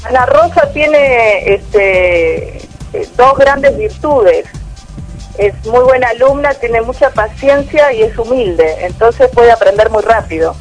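A woman, a tango teacher speaking calmly as a radio guest. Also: fairly high pitch, slow pace, faint music behind, poor audio. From Argentina. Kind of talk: radio interview.

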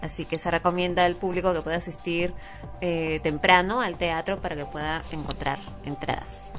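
A woman's voice: on the low side, steady tone, moderate pace, fairly bright tone, soft background music. A woman co-hosting a radio talk show, laughing easily and reading listener emails in a matter-of-fact way.

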